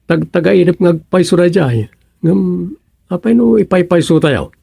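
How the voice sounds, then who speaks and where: A man acting a droll, easygoing village daydreamer in an Ilocano radio comedy, with an Ilocano accent and a gravelly, husky voice. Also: sits high, lively intonation, normal speed, dark tone, no echo, heavily acted. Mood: eager, explaining.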